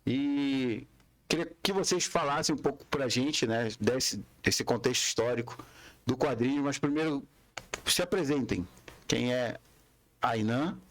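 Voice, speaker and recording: A man's voice: mid-range voice, unhurried. Casual male podcast host.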